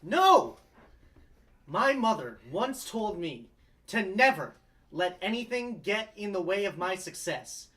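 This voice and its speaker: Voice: medium pitch, very animated, slow, fairly bright tone. Someone reading earnest young lawyer guy, pushy, voice swings all over. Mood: rousing. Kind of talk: comedic table read.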